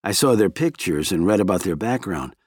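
A man's voice: low-pitched, animated, fairly quick, darkish tone, breathy edge, no room sound, studio quality. A man voicing a capable, polite woman researcher in an audiobook, with a plain, self-assured manner. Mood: modest, matter-of-fact.

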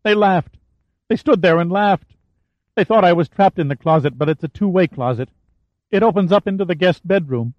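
A man's voice: high voice, lively intonation, moderate pace, dry recording, voice pushed up. A male narrator voicing a frightened young woman who pleads in a breathless, panicky way. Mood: panicked. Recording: dull, muffled dry recording.

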